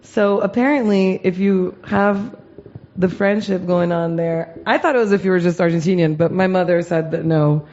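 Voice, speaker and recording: A woman's voice: fairly low pitch, moderate pace, a little mellow. A woman co-hosting a casual podcast, telling an anecdote in a light, joking way with frequent laughter. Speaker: podcast co-host. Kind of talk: casual chat. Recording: dull lo-fi podcast audio.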